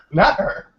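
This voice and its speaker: A man playing a tabletop roleplaying game, chatting casually and swearing freely as a player. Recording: dull, lo-fi voice recording.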